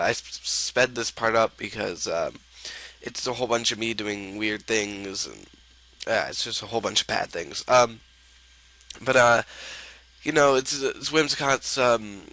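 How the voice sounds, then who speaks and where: A man's voice: lowish voice, sharp tone, low-quality recording. A young man with a stuffy, sick voice, casually commenting on his own online game match with lots of ums and uhs. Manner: rambling, filler-heavy, laid-back. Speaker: gaming video host.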